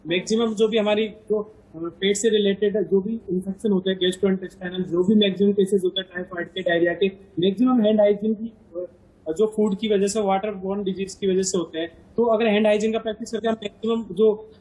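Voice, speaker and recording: A doctor on a live health show, a man with a husky, fairly high voice. He explains symptoms at length, stopping often to restart a word or fill a pause. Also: fairly even intonation, dark tone, low-quality recording. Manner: hesitant, many fillers and restarts. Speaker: doctor guest.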